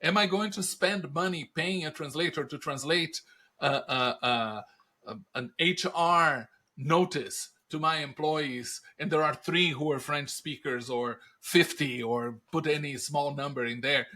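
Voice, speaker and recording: A middle-aged man whose first language is Portuguese speaks English with a Brazilian accent. His tone is bright and forward, set slightly high. He talks like an animated storyteller who answers his own rhetorical questions. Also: a little slow. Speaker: podcast guest, industry analyst. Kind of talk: conversational interview answers.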